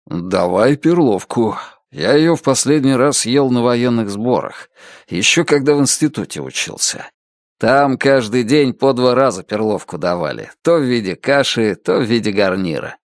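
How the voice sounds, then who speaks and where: A man's voice: medium pitch, lively intonation, normal speed, dry recording, clean studio sound, natural voice. A man voicing an easygoing husband who banters in a wry, joking way.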